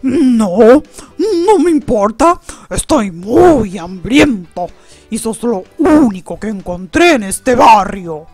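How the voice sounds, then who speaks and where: A woman with an Argentine accent voicing a giant, hungry crocodile in a loud, drawn-out, menacing manner. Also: a little high, big pitch swings, husky, no room sound, faint music behind, pitched above the performer's own voice, exaggerated voice. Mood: hungry, stubborn.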